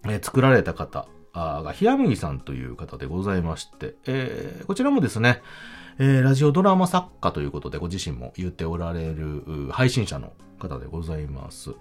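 Male podcast host, low register with very lively intonation, polite presenter phrasing in casual talk. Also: brisk, faint music behind. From Kansai, Japan. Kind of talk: casual solo chat to listeners.

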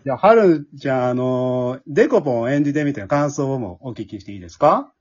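A man hosting a casual chat, chatty and quick to respond to the others. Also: mid-range voice, animated, a little mellow, dull audio. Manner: chatty, backchannels often, laughs readily. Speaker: host and MC of an after-talk.

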